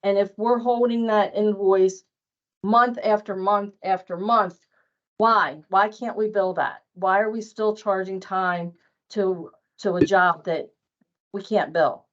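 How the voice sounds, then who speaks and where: A woman's voice: mid-range voice, fairly even intonation, slow. Woman giving emphatic training, dull audio. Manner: emphatic, repeats points for stress. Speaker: billing staff trainer. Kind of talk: informal workplace training talk.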